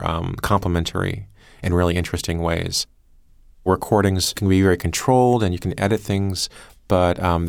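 A man with a deep voice speaks in a dry, close studio sound. He explains his production work in a reflective, thoughtful interview style, feeling his way through phrases. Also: vocal fry, dry recording. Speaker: podcast creator and producer.

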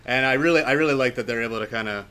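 A man talking casually and enthusiastically in a film discussion, in a halting, rambling way. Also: mid-range voice, bright, forceful tone, clear, resonant tone. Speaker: podcast panelist.

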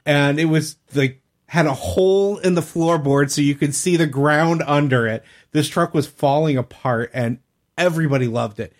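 A man's voice: on the high side, moderate pace. A man telling anecdotes in a casual, chatty podcast conversation. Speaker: podcast regular telling stories.

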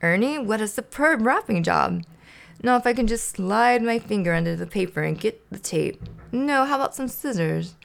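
Woman doing a fussy, high-pitched puppet fellow. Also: lively intonation, normal speed, vocal fry. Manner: hesitant, fussy. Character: fussy, earnest, easily flustered male puppet friend.

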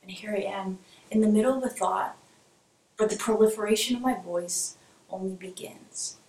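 A woman performing spoken word poetry in a rhythmic, rhyming delivery that sometimes sinks to a hush. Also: mid-range voice, moderate pace. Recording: echoey room from far off.

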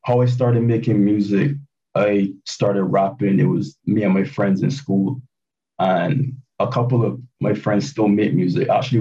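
A man's voice: on the low side, warm tone. A man in his late twenties from Barbados, a hip hop producer chatting casually as a guest, hesitant with many fillers and restarts. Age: 20s. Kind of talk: casual interview chat. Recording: dull, distant lo-fi call audio.

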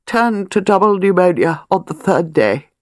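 A woman's voice: on the low side, expressive, normal speed, a little mellow, no room sound, studio quality, pitched above the performer's own voice, lightly acted. A woman voicing a stiff, affronted widow with a haughty, formal manner in an audiobook. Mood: mournful. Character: stiff, affronted, glacial widow selling her house.

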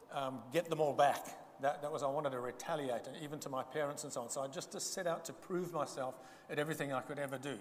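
A man's voice: fairly high pitch, a little hiss or hum. Older man giving testimony. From Zambia. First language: Bemba. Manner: humorous, self-deprecating storytelling. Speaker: guest speaker giving testimony. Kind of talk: church testimony talk. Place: church hall. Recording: reverberant hall, faint ambience.

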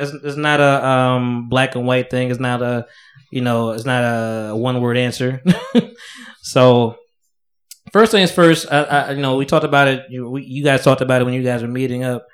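A man's voice: mid-range voice, fairly even intonation, moderate pace. A man in his thirties hosting a podcast, chatting loosely and laughing often. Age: 30s. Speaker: podcast host. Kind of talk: casual podcast chat. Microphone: podcast microphone.

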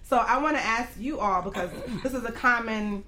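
A woman hosting a casual interview show, effusive and quick to affirm her guests. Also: fairly high pitch, noisy background. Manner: effusive, frequent exclamations and affirmations. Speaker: talk show host; marketing coach.

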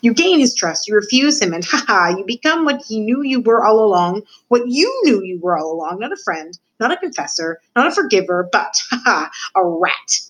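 A woman's voice: mid-range voice, expressive, brisk, natural voice, rough recording. A woman voicing a cynical, cruel older male rat who lectures theatrically in a storybook. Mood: gleeful. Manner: theatrical, lecturing, emphatic with repetitions.

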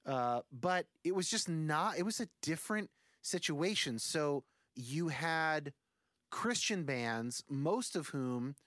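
Higher-pitched man, rambling and thoughtful. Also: expressive, takes it slowly, a bit bright, no echo, studio quality. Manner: rambling, thoughtful, many fillers. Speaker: podcast guest, musician. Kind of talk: casual conversation.

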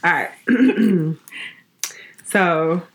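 Animated, laughing woman podcast co-host. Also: on the low side, very slow, slight background noise. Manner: animated, laughing, bossy about the bit. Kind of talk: casual chat.

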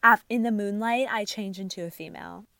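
A young woman co-hosting a casual chat, giggly and teasing, quick to play along with jokes. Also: medium pitch, sharp tone, dry recording. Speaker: podcast co-host.

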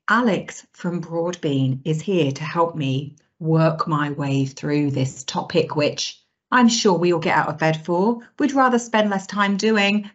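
A woman's voice: lowish voice, dull audio. A woman hosting a podcast, upbeat and jokey as she introduces the topic and puts questions to her guest. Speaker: podcast host.

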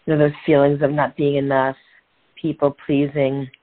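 An earnest, middle-aged woman tells her own story in short, reflective phrases with pauses. She comes through a thin, phone-like line that cuts away the fullness of her voice. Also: deep voice, little pitch movement. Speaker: guest, author and transformational coach. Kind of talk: candid personal story in a podcast interview.

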